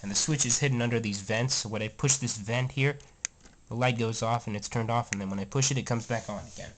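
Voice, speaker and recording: A man's voice: medium pitch, fast talker. A man with a stuffy, slightly scratchy voice talks casually through a tour of his train room at home. He rambles and corrects himself, with plenty of fillers. A camera mic held close picks him up, with a faint clicking underneath. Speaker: toy train vlogger. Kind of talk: casual show-and-tell narration. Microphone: handheld camera mic, filming close.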